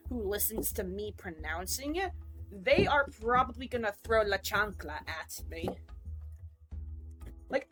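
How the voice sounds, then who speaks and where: A woman's voice: on the high side, a bit bright. A woman streamer in casual rambling chat, jokey and self-mocking.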